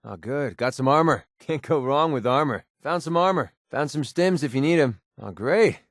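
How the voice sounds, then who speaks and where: Game companion role: male actor as young male mercenary, wry and prickly with a soft side, conversational, expressive, medium pitch, dry studio sound. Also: moderate pace, dry recording, lightly acted. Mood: casual. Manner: sarcastic, conversational, emotionally expressive. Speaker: video game companion character. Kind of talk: naturalistic video game voice acting.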